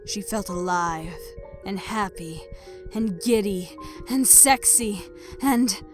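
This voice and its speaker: A woman reads a dramatic scripted story as narrator, letting phrases fall into a hushed breath. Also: mid-range voice, slow. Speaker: narrator of a fan fiction audio production. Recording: background music under voice. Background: music.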